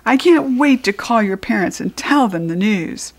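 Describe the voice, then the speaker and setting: A woman's voice: a little high, expressive, moderate pace. Mean storybook teacher played by a woman, American, gleeful, taunting, gloating.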